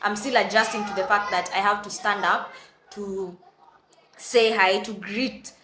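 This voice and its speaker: A young Kenyan woman with a Kenyan accent telling an animated storytime, exclaiming and acting out what others said. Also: mid-range voice, a little slow, echoey room. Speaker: vlogger. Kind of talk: casual storytime.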